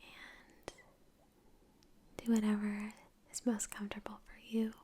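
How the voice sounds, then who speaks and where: A woman guides a meditation in a soft, breathy whisper. Her delivery is very slow and soothing, with long pauses. The tone is dark and mellow and stays nearly flat, right up close to the microphone. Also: medium pitch. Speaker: ASMR creator and meditation guide. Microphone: close microphone in front of the face.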